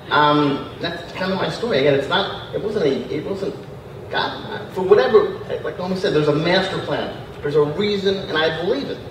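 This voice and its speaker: A young man who grew up in Montreal speaking Spanish and French tells a personal story with animated, self-deprecating humor. His voice sits a little high. He is miked from a distance in a very echoey hall with faint noise. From Montreal, Canada. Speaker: panel speaker. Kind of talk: personal story told to an audience.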